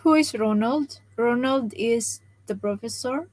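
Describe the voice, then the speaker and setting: Woman, slow pace, soft low-volume voice, tired after jaw surgery, live stream chat. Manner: speaks softly, restarting phrases, laughing often. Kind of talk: casual live stream chat reading comments.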